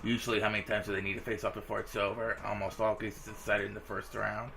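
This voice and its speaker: Low-pitched, even-toned man with gravelly fry. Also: fast talker, a little hiss or hum. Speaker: video game streamer. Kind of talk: reading visual novel dialogue aloud with casual reactions. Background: visual novel game music.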